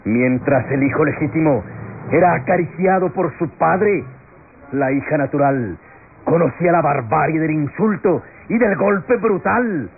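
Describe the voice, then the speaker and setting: An actor playing an impassioned defense lawyer in a classic radio melodrama, declaiming solemnly to a jury with rhetorical questions and dramatic pauses. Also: male voice, fairly high pitch. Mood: accusing, reproachful. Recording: tinny, noisy old radio recording.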